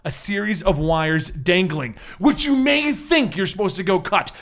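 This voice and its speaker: A man's voice: high-pitched, animated, unhurried, a bit bright. A man working as game master, hosting a tabletop superhero game, explaining rules and narrating scenes.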